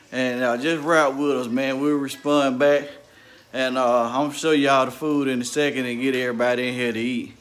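A man's voice: fairly high pitch, a bit bright. Laid-back man hosting a family cooking vlog. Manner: laid-back, joking, addresses viewers as family. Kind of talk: casual family vlog chat.

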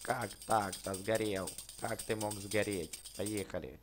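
A man giving excited, chatty game commentary for children, exclaiming, repeating words and addressing his viewers. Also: fairly low pitch, takes it slowly, over music. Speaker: gaming channel host.

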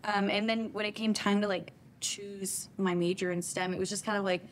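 A young woman from the United States, a guest on a studio interview couch. She tells her stories in an animated, playful way. Her voice sits at a middle pitch for a woman. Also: moderate pace, slight background noise. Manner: lively, animated storyteller, playful. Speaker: guest, software engineer and content creator. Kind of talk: casual interview storytelling. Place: TV studio set, seated on couch.

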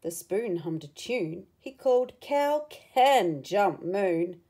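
A woman reading a picture book aloud to children, with expressive, dramatic emphasis and asides to the listener. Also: mid-range voice, slow pace, breathy edge.

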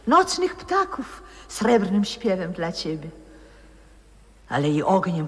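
An actress playing a frail old former opera singer, adoring and wistful, in poetic 1960s radio drama style. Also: female voice, mid-range voice. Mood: hushed rapture. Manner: poetic, theatrical, hushed and breathy. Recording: old radio recording with long reverb.

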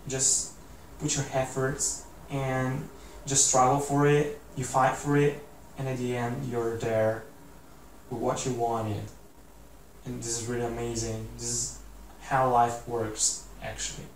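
A man's voice: medium pitch, flat delivery, slow. A man in his twenties with an Italian accent, talking earnestly to the camera in a casual, rambling way while seated indoors. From Italy. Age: 20s. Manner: earnest, rambling with many "like"s and restarts. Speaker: vlogger. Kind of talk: casual motivational talk to camera. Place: indoor room, seated in armchair. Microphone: camera mic from a few feet away. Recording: roomy echo, distant mic, faint hiss.

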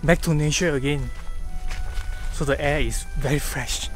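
A man's voice: on the high side, fairly even intonation, unhurried. A young Malaysian man vlogging outdoors on a forest hill trail, filming himself at arm's length and chatting casually with Malaysian English and a sprinkling of Malay words. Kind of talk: casual hiking vlog. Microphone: handheld camera at arm's length. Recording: loud background music.

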